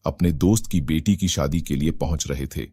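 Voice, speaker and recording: A man's voice: very deep voice, normal speed, mellow, breathy edge, dry recording, clean studio sound. A man narrating a scripted moral family story as a storyteller.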